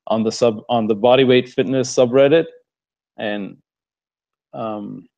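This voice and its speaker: A man's voice: medium pitch, unhurried, creaky. A young man from Los Angeles with an Armenian-American accent, chatting loosely in a live Q&A and laughing often. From Los Angeles, USA. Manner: relaxed, rambling, laughs often. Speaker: fitness coach answering questions. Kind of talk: casual live Q&A. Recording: muffled lo-fi stream audio.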